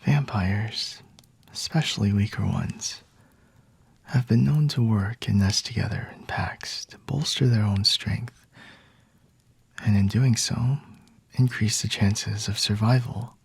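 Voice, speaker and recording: Breathy, whispering flirty male vampire, acted by a man. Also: fairly low pitch, dry recording, heavily acted. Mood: aloof, explaining. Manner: teasing, unhurried, condescending. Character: flirty, smug male vampire toying with a captured hunter. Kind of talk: whispered ASMR roleplay.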